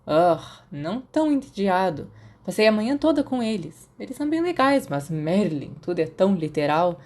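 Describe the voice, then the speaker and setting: A woman's voice: mid-range voice, sing-song, moderate pace, darkish tone, natural voice. A woman voicing a playful, cocky aristocratic teenage boy in an audiobook, with a teasing, theatrical delivery. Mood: bored complaining.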